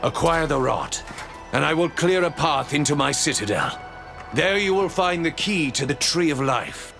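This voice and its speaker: Creaky, imperious otherworldly lord. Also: male voice, fairly high pitch, lively intonation, normal speed, over music. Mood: imperious, ominous. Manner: formal, imperious. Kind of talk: video game character acting.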